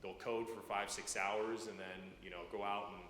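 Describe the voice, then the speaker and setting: Halting low-voiced man in echoey church. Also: flat delivery, fairly bright tone. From Ukraine. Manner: halting, many fillers and repeated words. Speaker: guest speaker. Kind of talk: personal testimony to a church congregation. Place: church sanctuary. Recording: echoey church hall, faint room noise.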